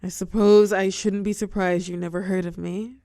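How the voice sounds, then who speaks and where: A woman playing a weary telepath supervillain and fallen hero, tender yet menacing, in an intimate audio roleplay with dramatic pauses. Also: medium pitch, flat delivery, warm tone, no echo, studio quality, natural voice. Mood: reluctant, resigned. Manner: soothing pet names, wry bitterness, dramatic pauses, drops into whispers.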